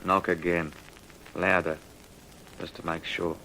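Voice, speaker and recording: An actor playing a hard, domineering armed burglar with an Australian accent in an old radio crime drama, curt and menacing. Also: male voice, sits low, level tone, slow, sharp tone, a little hiss or hum, exaggerated voice, low-quality recording. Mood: wary, curt. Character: hard, bossy armed burglar running the job.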